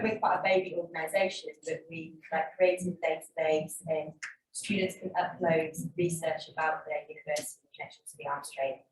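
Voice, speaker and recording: A young woman in the audience asks a chatty question full of fillers. Her voice sits low for a woman. She is heard from far off in an echoey room, with faint hiss underneath. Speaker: audience member, student activist. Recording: echoey hall from afar, faint noise.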